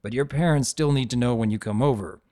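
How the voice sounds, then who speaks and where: A man's voice: medium pitch, fast, warm tone, dry recording, clean studio sound, lightly acted. A man playing an easygoing, avuncular adult dragon who tells his own story with wry, conversational asides. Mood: firm, reassuring. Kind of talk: first-person dramatic reading of fan fiction.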